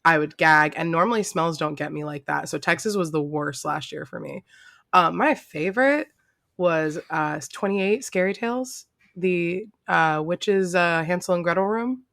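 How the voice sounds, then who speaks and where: Bright, creaky-voiced woman co-hosting a podcast. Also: fairly low pitch, normal speed, no room sound, clean studio sound. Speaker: podcast co-host. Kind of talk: casual podcast chat.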